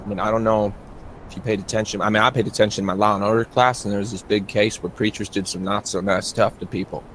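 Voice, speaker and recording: A man's voice: lowish voice, level tone, quick pace, fairly bright tone, slight background noise, lightly acted, poor audio. Man playing a blunt, sardonic young woman survivor. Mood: doubtful. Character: skeptical, foul-mouthed young woman survivor, weary and sardonic.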